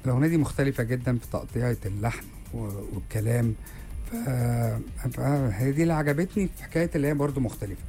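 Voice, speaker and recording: A man's voice: mid-range voice, quick pace, mellow, soft background music. An Egyptian man, a singer guest on the radio, with a tired voice, modest and thankful. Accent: Egyptian Arabic. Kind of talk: radio interview.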